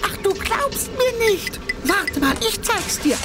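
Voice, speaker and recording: A woman's voice: extremely high pitch, very animated, slow pace, heavily acted. A woman voicing a cheeky, boastful little boy king in a children's cartoon, theatrical and naive, full of exclamations. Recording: music behind the voice.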